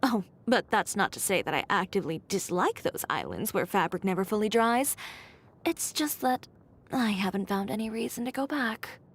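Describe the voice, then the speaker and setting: A woman's voice: medium pitch, animated, moderate pace, husky. A woman voicing a blunt, haughty young fashion designer in a video game, speaking in a curt, dry and self-assured way. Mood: matter-of-fact. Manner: curt, dry, matter-of-fact, coolly condescending. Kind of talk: scripted game character voice lines.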